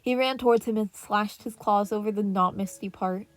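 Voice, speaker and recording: A woman's voice: mid-range voice, steady tone, normal speed, no echo. A woman reading scripted fan-fiction narration as a podfic narrator, with relaxing piano music behind her. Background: relaxing piano and birdsong music.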